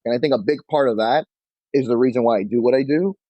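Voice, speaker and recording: A young man, chatting casually as an interview guest, relaxed and full of "like". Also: medium pitch, fast, dry recording. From Queens, New York. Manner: relaxed, peppered with "like", acts out quotes. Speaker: bookshop owner, guest. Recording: dull lo-fi dry sound.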